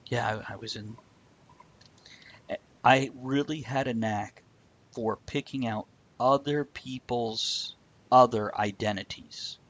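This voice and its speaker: A man's voice: lowish voice, muffled recording. A man hosting a casual, conversational live-streamed talk show.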